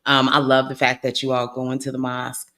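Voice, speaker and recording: An upbeat host leads a relaxed interview, nudging the guest with encouraging remarks. Questions come out chatty and a little meandering. The host turns to the viewers now and then. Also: medium pitch, a little fast. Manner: upbeat, affirming, addresses viewers directly. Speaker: podcast host. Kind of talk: casual interview hosting.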